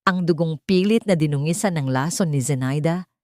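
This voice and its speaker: Female audiobook narrator, lower register, solemn and measured, very dry studio sound. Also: darkish tone, dry recording. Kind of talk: dramatic scripted story narration.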